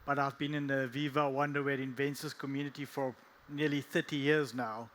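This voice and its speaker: A man's voice: medium pitch, little pitch movement, fairly quick, a bit bright. South African man on a panel. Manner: jokey, laughs often. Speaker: general manager, panelist. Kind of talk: panel discussion.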